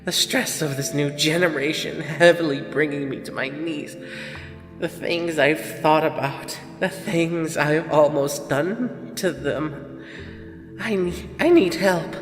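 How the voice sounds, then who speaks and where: A woman playing an elderly grandmother confessing as a killer in an audio drama, bitter and obsessive, with halting, dramatic delivery. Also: sits low. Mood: remorseful, heavy. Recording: echoey long reverb with music behind.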